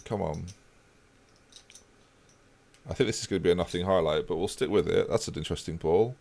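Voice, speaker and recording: A man's voice: low voice, fairly quick, vocal fry. A man casually chatting with his live-stream viewers while playing a football management game. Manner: relaxed, chatty, talks to chat while playing.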